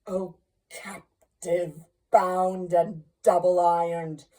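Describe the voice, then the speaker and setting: A woman voicing a mournful, grave male ghost in chains from a storybook, declaiming in slow, drawn-out wails. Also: mid-range voice, mellow, pitched above the performer's own voice. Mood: anguished.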